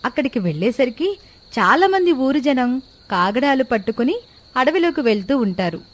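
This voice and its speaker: A woman's voice: sits high, very animated, normal speed. A woman reading scripted narration as the narrator of a story.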